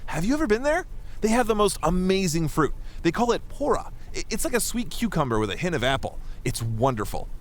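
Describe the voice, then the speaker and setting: A man's voice: sits high, very animated, fairly quick. A man playing an easygoing, enthusiastic starship doctor in a science fiction audio drama, chatty in manner. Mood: cheerful, chatty.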